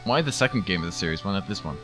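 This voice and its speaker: A man's voice: deep voice, expressive, rapid-fire. A man chatting casually while gaming, with a joking, teasing way of talking. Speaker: gamer in a group race video. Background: video game music.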